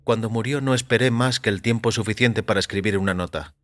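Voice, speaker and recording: A man reading a first-person short story as a narrator, in a measured, deliberate way. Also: lowish voice, dry recording. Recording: music under the voice. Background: background music.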